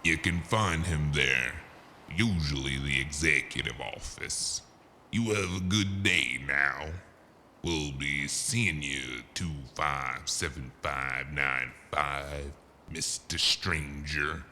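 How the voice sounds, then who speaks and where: A man plays a crime boss's negotiating henchman with an extremely low voice and a hard, sharp edge. He is measured and businesslike, with quiet menace, in a noir radio-play style. He takes his time over every phrase. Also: slight background noise, exaggerated voice. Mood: calm menace. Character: smooth-talking, businesslike underworld henchman, calm negotiator. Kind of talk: noir audio drama acting.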